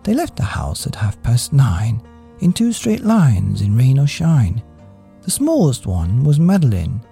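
A woman reading a rhyming children's storybook as a podcast storyteller, expressive and measured. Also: extremely low pitch, creaky, faint music behind. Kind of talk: scripted storybook narration in rhyme.

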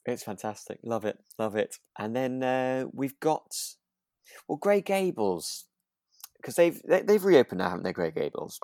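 A man's voice: mid-range voice, lively intonation, measured pace, darkish tone, no room sound, studio quality. A man co-hosting a podcast, chatting casually and a little hesitantly as he searches for words. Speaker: podcast co-host. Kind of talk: relaxed casual chat about a radio drama.

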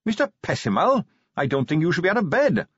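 A man's voice: on the high side, very animated, fairly quick, a bit bright, slightly rough, no room sound, lo-fi sound, pitched above the performer's own voice, exaggerated voice. A man voicing an earnest, by-the-book watch captain in a fantasy audiobook, speaking politely, carefully and formally. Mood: polite, firm.

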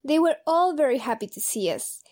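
An upbeat, proud team member played by an actress recounts the adventure in an audio drama. Her voice sits somewhat high, in a storytelling delivery. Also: expressive, fairly quick, darkish tone. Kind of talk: audio drama narration in character.

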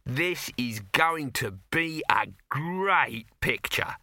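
A man voicing a pushy, excitable, slick film promoter in an audiobook, gushing, bossy and dismissive. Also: a little high, slow pace, no room sound, clean studio sound, pitched above the performer's own voice, full character voice.

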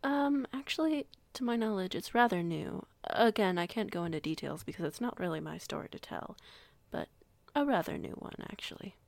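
A woman playing a composed, polite werewolf pack alpha in her late twenties in an audio roleplay, speaking in measured, formal phrases. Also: mid-range voice, animated, vocal fry, a little mellow, no echo. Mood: discreet, amused. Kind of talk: audio roleplay acting, conversation.

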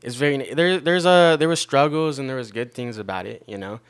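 A young American man telling his own story in casual conversation, haltingly, repeating phrases and often checking in with the listener. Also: mid-range voice, smooth. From Long Beach, California, United States. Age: young adult. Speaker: podcast guest.

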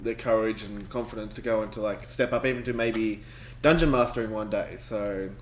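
A man's voice: fairly low pitch, brisk, slight background noise. Enthusiastic man in an echoey room. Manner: enthusiastic, laughs often. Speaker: podcast co-host and game master. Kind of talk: casual chat. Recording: echoey room with faint rain ambience.

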